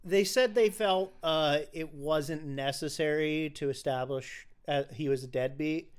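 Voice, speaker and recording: A man co-hosting a film podcast in casual discussion, talking haltingly and often repeating his phrases. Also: fairly high pitch, clean tone.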